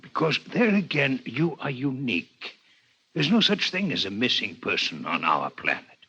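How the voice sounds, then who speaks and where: An actor playing a courteous alien doctor in a 1950s radio drama, speaking formally and precisely. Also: male voice, medium pitch, very animated, normal speed, sharp tone, slightly rough, exaggerated voice. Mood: curious, polite. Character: courteous six-legged alien doctor visiting Earth, male. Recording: dull vintage 1950s radio recording.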